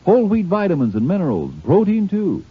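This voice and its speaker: A man reading a 1950s radio commercial as a folksy, emphatic announcer with a husky voice. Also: big pitch swings, a little fast. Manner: folksy, emphatic sales pitch. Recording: muffled old radio recording.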